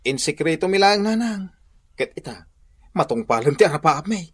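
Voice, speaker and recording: A husky husband from a village radio comedy, swinging widely in pitch. He grumbles and boasts in a Northern Luzon Filipino accent. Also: big pitch swings, brisk, dry recording. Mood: excited, boastful. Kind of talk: radio comedy drama acting.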